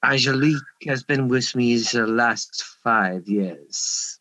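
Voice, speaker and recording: A man's voice: medium pitch, slow, sharp tone, dry recording, rough recording. A man playing a nervous, evasive French nightclub owner in an amateur radio mystery, stammering, repeating himself and getting defensive.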